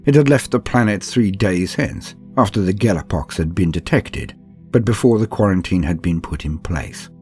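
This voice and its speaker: A man reading scripted narration like an audiobook, in a calm, steady, measured manner. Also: sits low. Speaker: narrator reading a campaign book. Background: faint background music.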